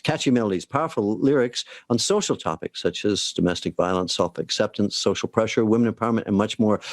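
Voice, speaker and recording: A man hosting a radio show, giving a steady, read-out introduction of a guest. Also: lowish voice, no room sound, studio quality. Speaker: radio host.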